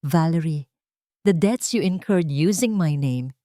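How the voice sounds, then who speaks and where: A woman with a Filipino accent playing a wronged wife turned cold corporate queen in an audio drama, speaking firmly and deliberately. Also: low-pitched, expressive, dark tone, no echo, studio quality. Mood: accusing, firm. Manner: firm, authoritative, deliberate.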